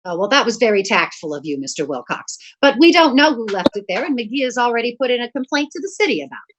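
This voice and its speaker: A woman playing a patient, gently chiding housewife in old-time radio comedy style, with a dry, teasing manner. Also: fairly high pitch, very animated, fast talker, dull audio. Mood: wry. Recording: clearly noisy live-stream audio.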